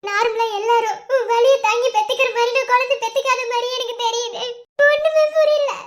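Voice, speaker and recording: Actress as distraught young mother for a family serial: extremely high, childlike pitch, crying, plaintive, doubting. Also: brisk, fairly bright tone. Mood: tearful, anguished. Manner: tearful, plaintive, questioning. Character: anguished young mother, full of doubt about her child. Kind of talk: melodramatic family serial acting.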